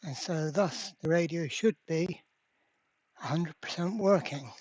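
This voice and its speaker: Slow-talking repair host, dull lo-fi sound. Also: medium pitch, darkish tone, slightly rough, dry recording. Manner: unhurried, halting, thinking aloud while working. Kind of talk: casual hands-on repair demonstration. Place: indoor workbench.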